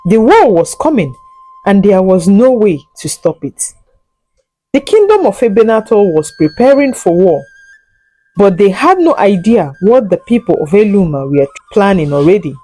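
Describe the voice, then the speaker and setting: A woman narrating a scripted folk tale in a solemn, deliberate and dramatic storytelling manner. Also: medium pitch, sing-song, dark tone, faint music behind, low-quality recording.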